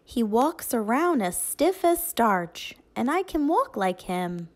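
Female teacher, fairly high voice, very lively intonation, deliberate child-directed picture book reading. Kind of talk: expressive picture book read-aloud.

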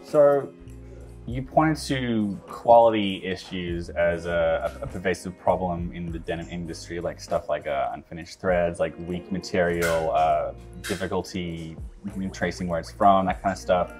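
Low-voiced male interviewer, wordy. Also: a little slow, a little mellow, soft background music. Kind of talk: conversational interview questions.

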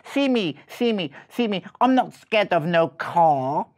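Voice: mid-range voice, expressive, unhurried, voice pushed up, close to an everyday voice. A storyteller voicing a cocky young male mouse who boasts in street slang and repeats phrases insistently. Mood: boastful.

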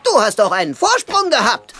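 A woman's voice: high-pitched, big pitch swings, normal speed, gravelly, heavy background noise, full character voice. A woman voicing a plucky, eager male cartoon cat sailor in a children's audio drama.

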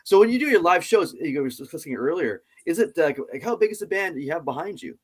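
A man's voice: on the high side, very animated, fast, a crowd in the background. A middle-aged man hosting a casual music interview over a video call, effusive and jovial. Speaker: host of music interview show.

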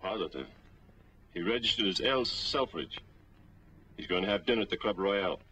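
An actor playing a businesslike hotel bell captain informant in a 1940s noir, matter-of-fact and brisk. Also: male voice, deep voice, lively intonation, sharp tone, poor audio. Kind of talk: 1940s film noir acting.

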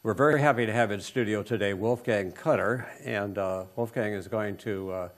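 An older man hosting a community television interview in a small studio, speaking gently with many short hesitations into a lapel mic. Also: lowish voice, moderate pace. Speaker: host.

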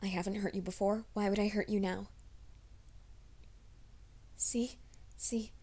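ASMR roleplay: a woman playing a young werewolf man, hushed and reassuring, slow even delivery. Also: medium pitch, husky. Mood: soft reassuring. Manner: soft, hushed, often whispering. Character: gentle young male werewolf, nervous and reassuring.

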